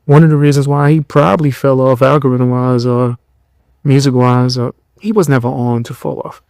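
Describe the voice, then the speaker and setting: A tired young man hosting a podcast, giving blunt, mocking commentary in loose slang with a low voice. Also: steady tone, moderate pace, creaky, a little mellow, smooth, no room sound. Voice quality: lower voice. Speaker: podcast host. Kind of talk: casual commentary.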